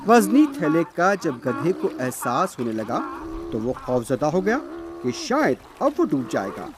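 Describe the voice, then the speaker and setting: A man narrating a scripted children's fable as a storyteller, expressive and deliberate. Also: a little high. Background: music.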